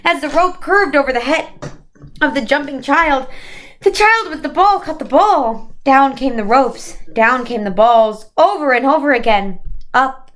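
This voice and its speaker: High-voiced woman reading a storybook. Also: lively intonation, measured pace, fairly bright tone. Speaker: storyteller reading aloud to children. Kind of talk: expressive read-aloud narration of a children's novel.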